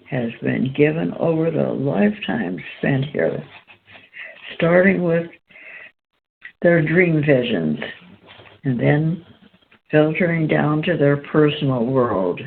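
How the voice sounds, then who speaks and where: A woman with a creaky, gravelly voice hosts and reads spiritual writing aloud. She goes very slowly, breaking sentences into halting, deliberate pieces. The sound is narrow and phone-like, with some noise behind her. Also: low-pitched. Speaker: host and reader. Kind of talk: slow read-aloud of spiritual text. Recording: tinny phone-line sound, background noise.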